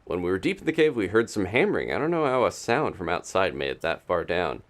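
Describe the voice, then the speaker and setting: A man's voice: low-pitched, big pitch swings, fast talker. A man reading aloud an upbeat construction worker's field report from a video game, in a storytelling way. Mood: matter-of-fact, upbeat.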